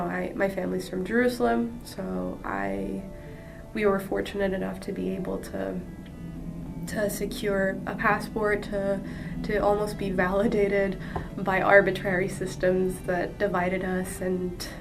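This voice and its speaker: A woman from Jordan sharing her personal story as a podcast guest, earnest and halting with frequent restarts. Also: medium pitch, creaky. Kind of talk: personal testimony. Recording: distant mic with music behind.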